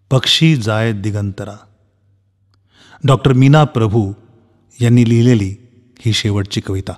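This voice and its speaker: A man with a mid-pitched voice speaks slowly and solemnly. His delivery is measured and expressive, in the style of a poetry reading. Music plays softly along with his voice. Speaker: reader reciting a poem by a late relative. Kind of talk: solemn poetry recitation with spoken introduction.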